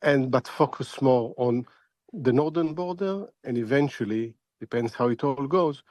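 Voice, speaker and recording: Halting, deliberate male expert analyst, dry sound. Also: medium pitch, a little mellow, no room sound. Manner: halting, deliberate, repeating words as he thinks. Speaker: expert analyst, interview guest. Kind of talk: spoken analysis in a news interview.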